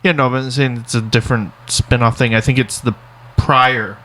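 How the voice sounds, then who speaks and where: A man co-hosting a podcast in casual chat, easygoing and halting as he searches for words. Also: mid-range voice, steady tone, fairly bright tone. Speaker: podcast co-host.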